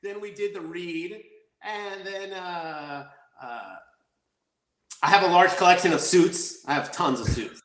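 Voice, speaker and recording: A man telling stories as a guest actor in a casual interview, rambling and energetic with many restarts. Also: high-pitched, a little slow. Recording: roomy echo.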